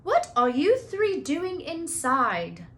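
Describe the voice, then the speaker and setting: A woman's voice: sits high, huge pitch swings, slow pace, a bit bright, voice pushed up, heavily acted. A woman voicing a stern, haughty woman professor with a clipped, disapproving manner in a children's book read-aloud. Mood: suspicious.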